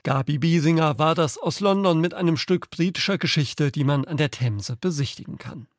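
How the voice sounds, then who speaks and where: A man's voice: a little high, normal speed, no room sound, studio quality. A man presenting a radio podcast as host, in a scripted style.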